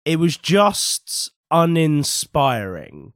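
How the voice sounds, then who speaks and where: Slightly husky man with a cold. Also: on the high side, huge pitch swings, drawn-out words, dry recording, studio quality. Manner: opinionated, teasing. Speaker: podcast co-host. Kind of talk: casual chat.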